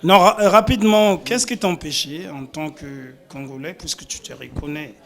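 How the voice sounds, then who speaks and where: A man's voice: fairly high pitch, moderate pace, vocal fry. Man pressing with probing questions. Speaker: panel member. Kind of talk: casual panel discussion.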